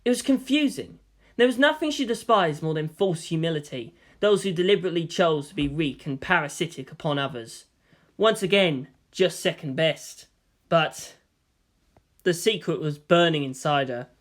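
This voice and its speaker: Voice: mid-range voice, expressive, normal speed. A narrator giving a dramatic scripted reading of a story.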